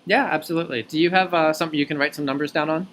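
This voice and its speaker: A man with a slightly high voice speaks as a job recruiter on a call, over faint background noise. He explains in an organized, articulate way, laying out his answers point by point. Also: steady tone, rapid-fire, a bit bright. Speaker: company recruiter interviewing an applicant by phone. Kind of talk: explanatory job interview talk.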